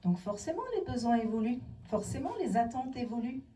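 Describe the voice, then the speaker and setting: A woman's voice: a little high, lively intonation, fairly quick, mellow, recorded from a distance. A middle-aged woman giving an emphatic public talk on city design to an audience, asking rhetorical questions. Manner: emphatic, rhetorical questions, acts out quoted voices. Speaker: speaker on inclusive city design. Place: town hall meeting room.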